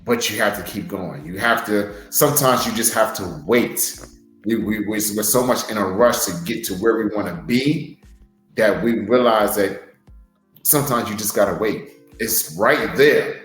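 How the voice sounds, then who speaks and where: A man's voice: lowish voice, moderate pace, fairly bright tone, reverberant, soft background music, low-quality recording. A man who wrestles, co-hosting a podcast and telling a motivational story in an earnest, heartfelt way. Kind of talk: casual podcast chat.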